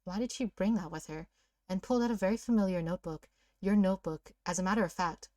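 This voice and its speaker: A woman's voice: medium pitch, fast, warm tone, dry recording, clean studio sound. A playful, giggly woman co-hosting a read-aloud, narrating a story and bantering casually. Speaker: co-host of a read-aloud series.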